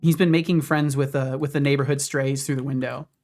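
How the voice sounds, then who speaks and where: A man's voice: medium pitch, fairly quick. A man in his thirties casually chatting with his stream viewers while he codes, with faint music behind him. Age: 30s. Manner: relaxed, talks to chat between coding asides. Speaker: streamer and game developer. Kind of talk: casual livestream chat while coding. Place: home office.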